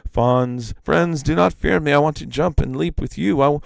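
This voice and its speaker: An eager, envious trickster from a storybook , acted out by a man. He pleads and exclaims excitably, his voice pushed up high above the reader's own. The sound is dry and close. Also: normal speed, vocal fry, no room sound. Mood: eager, excited.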